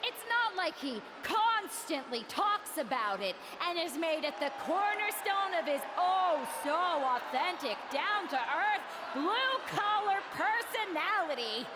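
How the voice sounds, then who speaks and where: Very high-pitched female wrestler, swooping wildly through sarcastic, mock-fawning lines in an exaggerated game promo. She takes her time, with clear arena noise behind her. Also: very animated, slow, heavily acted. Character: sarcastic woman wrestler mockingly posing as a rival's sidekick. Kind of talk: staged wrestling promo acting. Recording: noisy arena ambience.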